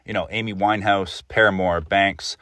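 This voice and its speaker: A man's voice: deep voice, fairly even intonation, moderate pace, fairly bright tone, no echo, clean studio sound. A man hosting a casual interview, asking loose, meandering questions in a conversational way.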